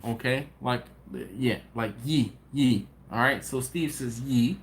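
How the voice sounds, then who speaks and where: A man's voice: mid-range voice, slow pace. Man, live-stream host, loose conversational delivery with restarts, echoey room with faint background noise. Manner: rambling, talks to viewers directly, restarts often. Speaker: barbecue channel host. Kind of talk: casual live-stream chat, reading viewer comments. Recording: roomy echo, faint ambient noise.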